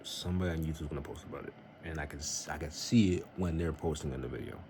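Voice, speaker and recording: A man's voice: fast talker, darkish tone. A young man vlogging in a casual, earnest and somewhat rambling way, giving faith-based advice. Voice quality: very deep voice. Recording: noisy home recording.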